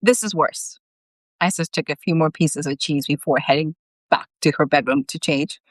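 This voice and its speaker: A woman reading her own fiction aloud as host, in an animated, dramatic storytelling manner. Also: sits low, brisk, dry recording. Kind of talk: expressive storybook reading on a live stream.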